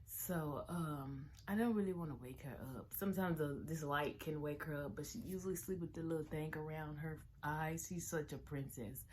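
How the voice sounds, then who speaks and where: A young adult woman vlogging casually at home with a hoarse morning voice, rambling with restarts and sometimes dropping into near-whispers. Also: deep voice, normal speed, few pauses, darkish tone, slight background noise. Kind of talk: casual chat to camera.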